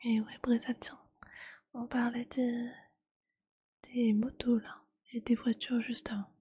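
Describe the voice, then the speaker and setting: A woman speaking in a breathy ASMR whisper, chatting casually and with gentle teasing like a streamer talking to viewers. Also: on the high side, little pitch movement, slow.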